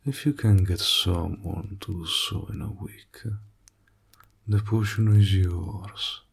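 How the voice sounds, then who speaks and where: A man playing a sly evil warlock in a roleplay audio drama, teasing and deliberate, with a breathy, gravelly low voice. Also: steady tone, exaggerated voice. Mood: firm, bargaining. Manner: slow, deliberate, drawling, insinuating. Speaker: voice actor. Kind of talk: roleplay audio drama, intimate villain monologue.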